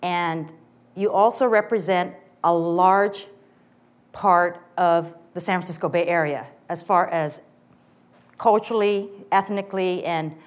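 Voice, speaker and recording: A woman in her forties with a loud voice, giving a lively, joking inspirational talk to a women's morning gathering. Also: fairly low pitch. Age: 40s. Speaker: guest speaker.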